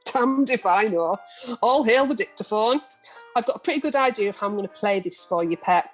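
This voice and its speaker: A woman acting a brash middle-aged reporter in a home-made radio drama. She is frank and affectionately teasing, at an average female pitch, with faint music in the video-call recording. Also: lively intonation, fairly quick, lightly acted, rough recording. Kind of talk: amateur radio play acting.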